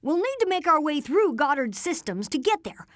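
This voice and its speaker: Video game boy genius: earnest take-charge inventor, high and very lively, explains things precisely. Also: normal speed, no room sound, studio quality. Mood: explaining, confident. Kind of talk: animated video game voice acting.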